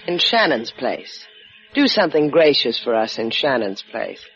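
Sassy, low-voiced adventuress. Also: female voice, very animated, measured pace, fairly bright tone, exaggerated voice. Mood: dry. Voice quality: low-pitched for a woman. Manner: dry, wisecracking. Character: sassy, cool adventuress sidekick woman. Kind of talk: 1950s radio adventure acting. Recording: dull 1950s radio recording, faint music.

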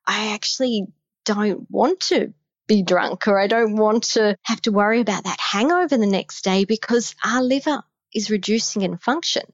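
A woman's voice: medium pitch, no room sound, muffled recording. Australian woman giving emphatic health advice. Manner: emphatic, advising directly. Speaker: menopause health guest. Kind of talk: podcast conversation.

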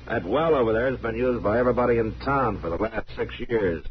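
An actor playing a stern, steady American frontier marshal in a radio western, deep and firm, giving terse, unhurried commands. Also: male voice, close to an everyday voice. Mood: calm, unyielding. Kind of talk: 1950s radio western acting. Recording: muffled, noisy old 1950s radio recording.

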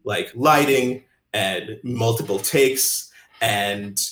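A man's voice: mid-range voice, extremely slow, a bit bright. A man in his usual middle register chats wryly on a podcast, breaking into laughter often. His voice carries a noticeable echo from the room around him. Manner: wry, laughs often, talks in bursts. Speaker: podcast host. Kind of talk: casual podcast chat.

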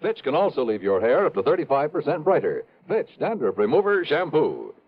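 A male announcer from 1950s radio delivers scripted copy with polished, punchy salesmanship. His intonation is very animated, and the recording is thin and tinny, almost like a telephone. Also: on the high side, a little fast.

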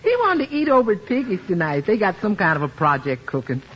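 An actress playing a good-humoured, folksy housekeeper in a 1950s radio sitcom, husky and breathy, deferential but teasing. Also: female voice, mid-range voice, big pitch swings, fast. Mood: cheerful, deferential. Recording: dull 1950s radio broadcast.

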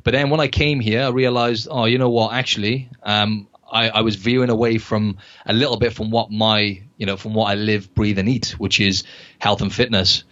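A man's voice: fairly low pitch, little pitch movement, normal speed, a bit bright, lo-fi sound. A man from Wales, answering interview questions casually with hesitant restarts. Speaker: podcast guest, personal trainer.

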